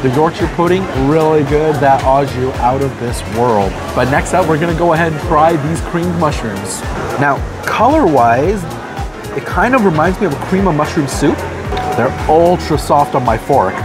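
A man's voice: fairly high pitch, animated, normal speed. A man in his thirties, a food vlogger, talking to the camera with eager, savoring praise while eating in a busy restaurant. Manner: enthusiastic, savoring each bite with upbeat praise. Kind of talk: casual food review to camera. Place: busy restaurant dining room, indoors. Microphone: phone or camera held at arm's length. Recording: background music playing in restaurant.

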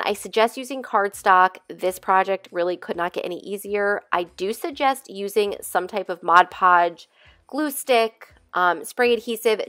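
Woman, nasal, tail end of a cold; chatty rambling tutorial host, medium pitch, dry voice-over. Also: a bit bright, no room sound. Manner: chatty, rambling, friendly asides. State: recovering from a cold. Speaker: DIY craft channel host. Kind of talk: casual crafting tutorial voice-over.

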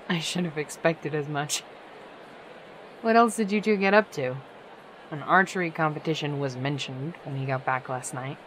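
A woman's voice: deep voice, a little slow, pauses often, a bit bright, slight background noise, low-quality recording. A woman voicing a dry, guarded, protective older-sister pony in a fan audio drama.

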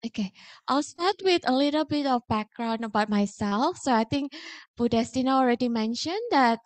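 Woman, Indonesian accent, fairly high voice, dry close sound, chatty with fillers, lecture hall mic. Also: expressive, normal speed. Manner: chatty, self-deprecating, many fillers. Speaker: guest lecturer. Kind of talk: public lecture.

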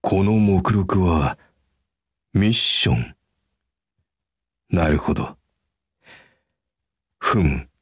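A man voicing a calm, earnest, kindly masked hero in a mobile game, speaking in a measured, reserved, formal way. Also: low voice, slightly rough, no echo, studio quality, heavily acted. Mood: musing. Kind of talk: anime game character voice acting.

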